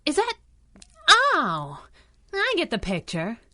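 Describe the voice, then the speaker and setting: High, bright, wisecracking superhero sidekick. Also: female voice, wildly swooping pitch, slow pace, heavily acted. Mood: wary, scheming. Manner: sassy, slangy, tough-talking. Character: brash, wisecracking female superhero sidekick, tough and fearless. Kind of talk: 1930s radio adventure serial acting.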